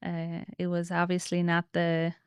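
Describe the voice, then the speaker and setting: A woman's voice: on the low side, completely flat delivery, unhurried, clean tone, no echo. A woman in her thirties speaking conversationally as an interview guest, telling personal stories and explaining her views. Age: 30s.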